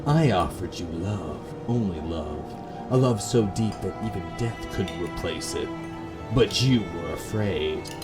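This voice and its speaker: A man voicing a wronged gothic woman, a tender yet bitterly accusing lover, in a slow, dramatic, intense reading for a horror game. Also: low voice, lively intonation, loud music behind the voice. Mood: bitter, pleading.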